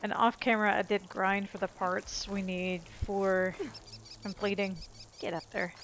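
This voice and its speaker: A woman's voice: medium pitch, level tone, unhurried, fairly bright tone, slight background noise. A woman giving casual gameplay commentary as a let's play host, chatting and thinking aloud as she goes.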